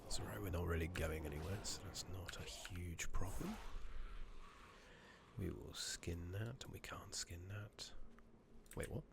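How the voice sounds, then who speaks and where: A soft-spoken man giving ASMR game commentary, often dropping to a whisper, murmuring unhurriedly to himself. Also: deep voice, unhurried, gravelly, noisy background. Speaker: ASMR gaming streamer. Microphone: USB condenser microphone on a tripod with pop filter.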